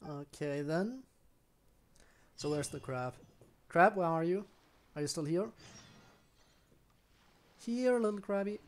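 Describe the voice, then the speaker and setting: Higher-pitched man streaming a video game. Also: lively intonation, takes it slowly, long pauses, darkish tone. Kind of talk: live game stream commentary, casual chat.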